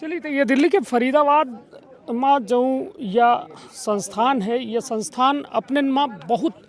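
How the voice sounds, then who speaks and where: A man's voice: extremely high pitch, takes it slowly. A male reporter with a Bagheli accent, interviewing people on the spot and commenting with emphasis, slipping dialect words into standard speech. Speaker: news reporter.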